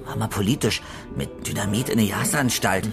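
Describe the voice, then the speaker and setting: An actor playing a wary, gossipy pub companion in a radio drama, tossing out curt asides. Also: male voice, fairly low pitch, normal speed, over music, natural voice.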